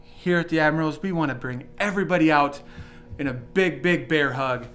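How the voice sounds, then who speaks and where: A male pitchman with a slightly high voice, selling in a promotional interview. He is folksy and effusive, repeating his phrases to drive them home. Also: normal speed, fairly bright tone. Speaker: group sales pitchman, interview guest.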